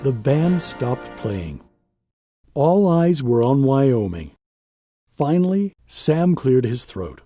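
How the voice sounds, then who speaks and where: A man reading a children's picture book aloud as an expressive storyteller, with measured, dramatic phrasing and pauses for emphasis. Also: medium pitch, husky, dry recording, clean studio sound, faint music behind.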